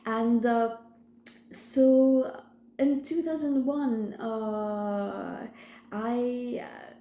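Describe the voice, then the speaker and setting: Woman, 30s, sore-throat croak, slow and hesitant, recorded in an echoey room. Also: fairly high pitch, steady tone, warm tone. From France. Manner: hesitant, many fillers and restarts. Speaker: vlogger sharing her recovery story. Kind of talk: personal testimony, casual talk to camera.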